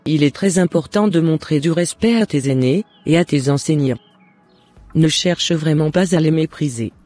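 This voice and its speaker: A man's voice: on the high side, normal speed, a little mellow, dry recording, soft background music, natural voice. A man playing a loving, devout mother in a cartoon, giving earnest and encouraging advice in formal words. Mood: gently advising. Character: devout, loving mother advising her son. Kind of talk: scripted cartoon dialogue.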